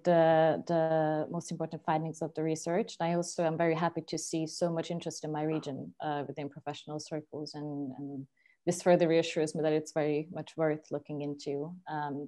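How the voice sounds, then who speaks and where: Low, mellow young Hungarian-accented woman. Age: 20s. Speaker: interviewed energy policy consultant. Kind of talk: explanatory interview answer. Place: small room indoors, video call. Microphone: earphone headset.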